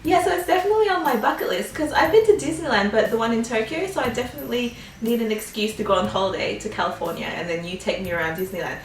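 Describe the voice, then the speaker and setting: A woman's voice: fairly high pitch, fast, hardly any pauses, roomy sound, distant mic, a little hiss or hum. A young woman in her twenties, chatting through a casual Q&A with a bubbly, giggly manner and lots of "um"s and "like"s. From Australia. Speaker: vlogger. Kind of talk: casual Q&A chat.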